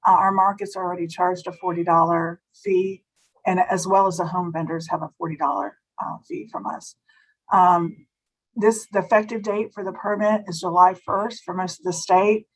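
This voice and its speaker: Virginia lady on a webinar, kinda hoarse, lowish voice, explaining rules with little restarts. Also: steady tone, low-quality recording. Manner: explanatory, slightly halting with restarts. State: slightly hoarse, losing her voice. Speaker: state food safety inspector presenting. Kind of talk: webinar presentation.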